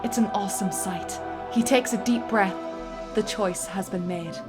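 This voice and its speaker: A woman reading a script's stage directions aloud with lively, dramatic narration. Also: mid-range voice, moderate pace, over loud music.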